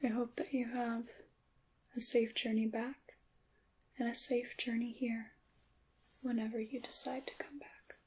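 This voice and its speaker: Woman as a slow whispering, courteous witch. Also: fairly high pitch, level tone, exaggerated voice. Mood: gracious, calm. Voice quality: soft, breathy whispering. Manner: formal, polite, unhurried, old-fashioned wording. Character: courteous witch shopkeeper selling handmade magical wares. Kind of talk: ASMR roleplay, whispered and softly spoken.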